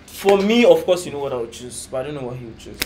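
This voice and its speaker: A young man co-hosting a casual vlog chat, often pausing to search for words, seated on a couch indoors. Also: medium pitch, expressive, noisy background. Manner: hesitant, keeps saying "what's it called". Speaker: vlog co-host. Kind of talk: casual chat comparing countries.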